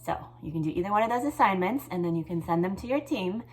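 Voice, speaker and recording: A woman's voice: mid-range voice, animated, fast talker. A woman teacher speaking to pupils, as in a classroom storytime and lesson. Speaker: teacher reading a picture book to pupils. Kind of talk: expressive storybook read-aloud, then informal assignment explanation.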